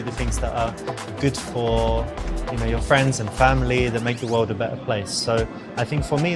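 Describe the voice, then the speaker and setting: A man playing a smooth, attentive dater and coach, talking in a conversational, reassuring way. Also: mid-range voice, close to an everyday voice. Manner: conversational, reassuring, uses "you know" often. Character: smooth, attentive man chatting up a woman on a date. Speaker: seduction coach and dating game presenter. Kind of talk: scripted date dialogue and coaching commentary.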